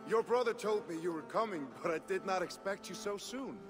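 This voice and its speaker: Game cast: actor playing a welcoming Viking chief, earnest, reverberant hall sound. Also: male voice, high voice, brisk, music in the background, lightly acted. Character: Viking leader planning an aid voyage, warm host. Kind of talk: video game cutscene acting.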